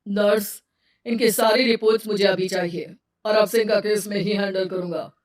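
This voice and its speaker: A woman's voice: mid-range voice, steady tone, normal speed, far from the mic, lightly acted. A woman voicing a resolute, commanding male doctor in a cartoon moral story. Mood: firm.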